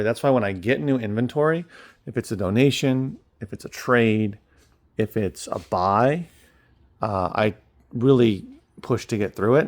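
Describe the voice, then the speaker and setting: Low-voiced man chatting casually. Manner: rambling, unscripted delivery with trailing asides. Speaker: online reseller and bookstore owner. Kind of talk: casual chat.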